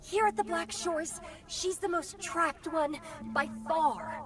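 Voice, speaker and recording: An actress playing a possessive, teasing young woman fighter in a fantasy video game, with deliberate, dramatic delivery. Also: extremely high pitch, music in the background. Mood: wistful. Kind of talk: theatrical video game voice acting.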